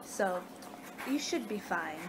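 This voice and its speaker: A woman's voice: medium pitch, very animated, slow. A young American woman doing a casual ASMR roleplay as a vampire, rambling conversationally on a homemade webcam recording. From United States. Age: young adult. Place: living room indoors at home. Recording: noisy amateur webcam recording.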